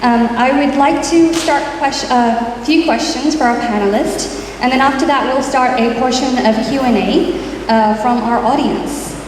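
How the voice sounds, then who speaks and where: Panel moderator: woman, polite, pausing often, recorded in a reverberant hall with clear background noise. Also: high voice. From Iraq. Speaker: event moderator, organizer. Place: large hall at public panel event. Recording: distant, echoey, noisy hall.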